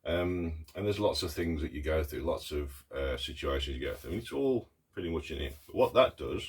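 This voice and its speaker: Middle-aged man vlogging, very low husky voice with a croak from a cough, halting and earnest with many pauses. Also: lively intonation. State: hoarse with a cough. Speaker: artist vlogger. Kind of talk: casual talk to camera.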